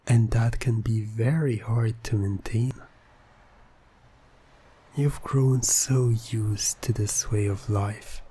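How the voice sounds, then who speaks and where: Coaxing male hypnotist, played by a man, ASMR roleplay: soft, dark-toned, unhurried, persuasive and soothing. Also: mid-range voice. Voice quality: soft, breathy. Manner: soothing, persuasive, deliberate, often dropping to a whisper. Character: smooth-talking, coaxing male hypnotist playing innocent motorist.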